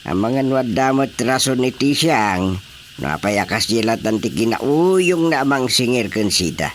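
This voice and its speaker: Give this to a man acting as an expressive villager full of opinions in an Ilocano radio comedy-drama. He speaks at an average pitch that leaps and dips widely, with an Ilocano Filipino accent. There is faint noise behind the voice. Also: measured pace, lightly acted. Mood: opinionated, wry.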